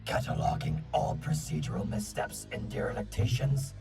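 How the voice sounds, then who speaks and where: A man playing a servile, secretive robot spy officer in a fan comic dub, formal and gravelly, distant under music. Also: medium pitch, steady tone, normal speed. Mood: obedient, cold.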